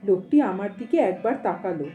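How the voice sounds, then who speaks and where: Lady narrating a spooky story, soft mellow tone but really animated, expressive and suspenseful, bit of music behind. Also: mid-range voice, a little fast. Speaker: storyteller, audio story channel host. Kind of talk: dramatic reading of a Bengali ghost story with casual asides.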